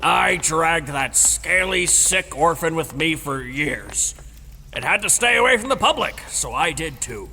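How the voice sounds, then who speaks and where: An actor in an audio drama playing a gruff old dragon-slaying veteran, defensive and blustery, with a gravelly, rambling delivery. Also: male voice, fairly high pitch, animated, measured pace, sharp tone, a little hiss or hum, exaggerated voice. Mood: blustery, insistent. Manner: blustery, rambling storytelling, stammers when challenged. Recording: reverberant hall with music behind.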